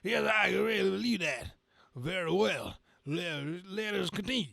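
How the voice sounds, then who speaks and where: A man playing a prim, earnest priest in a polite, halting manner for a comic-book reading. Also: high voice, lively intonation, creaky, pushed and bright, dry recording, voice pushed up, exaggerated voice.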